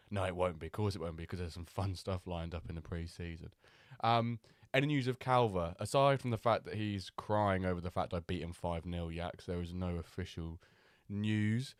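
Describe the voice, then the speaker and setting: A man hosting a live stream, chatting loosely with many pauses and fillers, still a little nasal from a cold. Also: sits low, fairly even intonation, no echo, studio quality. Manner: chatty, rambling, with many ums and restarts. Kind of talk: casual live-stream chat.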